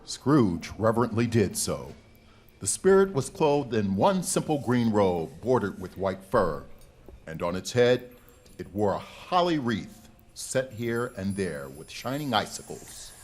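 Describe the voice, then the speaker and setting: A man's voice: on the low side, big pitch swings, a little slow, darkish tone. A man reading dramatic scripted narration in a live radio drama. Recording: faint music behind.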